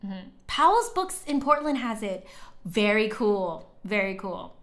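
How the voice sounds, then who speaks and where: A woman's voice: mid-range voice, big pitch swings, a little slow. Chatty woman hosting a casual livestream. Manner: giggly, chatty, rambling asides. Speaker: livestream host, musician. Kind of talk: casual livestream chat, replying to viewers.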